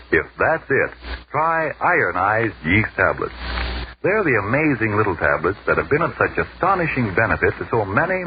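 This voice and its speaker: A man announcing in a scripted 1940s radio style, formal, deliberate and persuasive. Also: lowish voice, background noise. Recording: dull 1940s radio broadcast.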